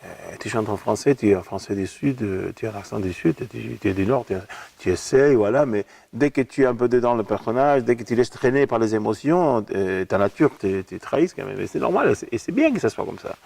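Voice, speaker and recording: Gravelly low man with an Argentine accent. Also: animated, a little fast, darkish tone. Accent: Argentine Spanish. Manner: thoughtful, repeats words while searching for them. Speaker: opera singer guest. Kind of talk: relaxed interview talk. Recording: voice from a distant mic.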